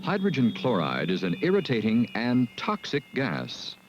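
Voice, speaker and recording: Measured male film narrator, lo-fi. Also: medium pitch, huge pitch swings. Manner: measured, deliberate delivery. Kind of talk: scripted educational narration. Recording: dull lo-fi film soundtrack with music.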